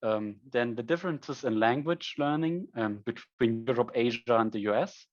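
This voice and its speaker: German-accented man in his twenties, mid pitch, conference speaker, lots of ums, muffled lo-fi sound. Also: animated, moderate pace. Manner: frequent ums, self-corrections. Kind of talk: conference presentation.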